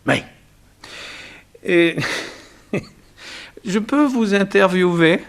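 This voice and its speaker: Radio drama role: bashful, blunt forty-year-old tycoon, highish voice, halting and repeating himself. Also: male voice, lively intonation, vocal fry, full character voice. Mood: bashful, curious. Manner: hesitant, stammering, repeating words. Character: forty-year-old self-made immigrant banker, awkward, bashful, blunt. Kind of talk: 1960s French radio drama acting.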